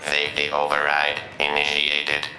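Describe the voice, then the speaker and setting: A man voicing an emotionless training robot with a formal, mechanical delivery in an animated production. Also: extremely low pitch, normal speed, over music.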